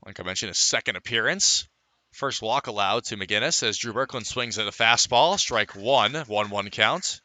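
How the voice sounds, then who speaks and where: Male radio baseball commentator, very bright and forceful tone, dry close sound, stat-filled live calls. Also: on the low side, moderate pace, no room sound. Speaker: baseball play-by-play radio announcer.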